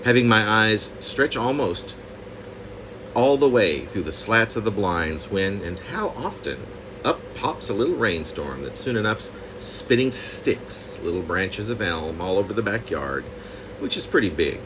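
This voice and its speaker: A man reading his own poem reflectively, in deliberate, halting phrases with pauses. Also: lowish voice, sing-song, background noise. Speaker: poet reading own work.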